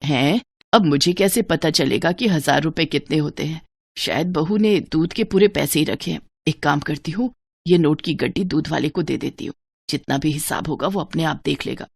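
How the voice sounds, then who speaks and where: Woman voicing a fretful older mother-in-law, lower-pitched. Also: normal speed, creaky, slightly rough, no room sound, full character voice. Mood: puzzled. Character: illiterate older mother-in-law, trusting, anxious, plain-spoken.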